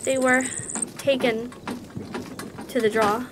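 A woman's voice: sits high, steady tone, slow pace, noisy background. An actress playing a devout, inquisitive young apprentice woman in a fantasy audio drama.

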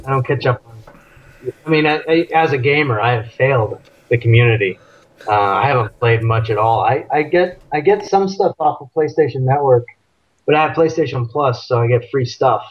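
A man's voice: mid-range voice, normal speed. Rambling man on a lo-fi video call. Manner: rambling, self-deprecating, laughs often. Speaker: guest, stunt actor and fight choreographer. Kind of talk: casual video-call interview. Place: indoor room at home. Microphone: webcam on video call. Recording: dull lo-fi call audio.

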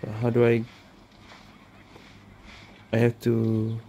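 A man's voice: mid-range voice, monotone, slow, background noise. A man giving casual gameplay commentary, muttering brief reactions to himself. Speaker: gaming video creator. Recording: game music behind the voice.

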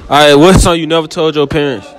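A man's voice: a little high, steady tone, very fast. A teenage boy interviewing classmates with a handheld mic at school, teasing and crude, laughing often. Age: teens. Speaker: street interviewer. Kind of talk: casual hallway interview. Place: school hallways and classrooms, some outdoors.

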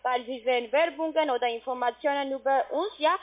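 A high-pitched woman works through a phone sales pitch. She is a non-native German speaker with a foreign accent and keeps adding little checking questions. The sound is narrow and muffled, like a telephone call. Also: brisk. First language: not German. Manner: frequent checking tag questions. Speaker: call centre sales agent.

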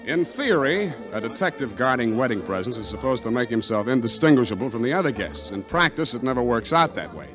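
An actor playing a wisecracking hard-boiled private detective in 1940s radio drama, dry and unflappable. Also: male voice, mid-range voice, very animated, fairly quick, few pauses, music in the background, natural voice. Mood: dry narration.

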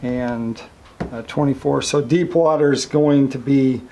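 A man's voice: medium pitch, a little slow, a little hiss or hum. A man walking through the setup of a boat navigation chart card in a casual hands-on demonstration, with many fillers. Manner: relaxed, many fillers, talks through each step. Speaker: marine electronics reviewer.

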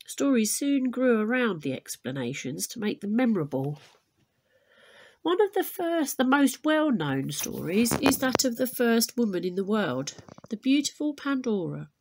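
A woman teacher reading a storybook aloud to pupils in an expressive, deliberate way, adding explanations. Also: on the high side, breathy edge.

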